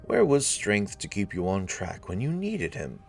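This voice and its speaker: A man with a very low voice reads out story narration over faint music. He breaks off for casual, wry comments of his own. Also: huge pitch swings, a little slow, vocal fry, a little mellow.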